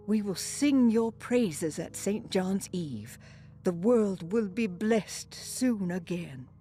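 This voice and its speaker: Video game role: troubled, pious woman, reverent and solemn, low-lit mellow tone with gravelly fry. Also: slight background noise. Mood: fervent, reverent. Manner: solemn, hushed, reverent delivery. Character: devout, troubled woman seeking solace in voodoo, praying. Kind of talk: dramatic video game monologue.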